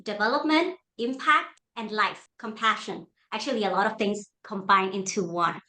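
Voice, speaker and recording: A woman's voice: medium pitch, moderate pace, sharp tone, poor audio. A woman in her thirties from Denmark, a coach talking as an interview guest over a video call, chatty and often tagging her lines with "right?". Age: 30s. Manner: chatty, tags lines with "right?" and "you know". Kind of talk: casual interview answers.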